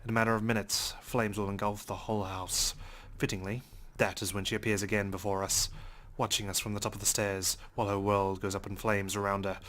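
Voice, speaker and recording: A man's voice: lowish voice, level tone, a little fast, hardly any pauses, a bit bright. A man reading visual novel text aloud as dramatic first-person narration. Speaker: let's play host reading game text aloud.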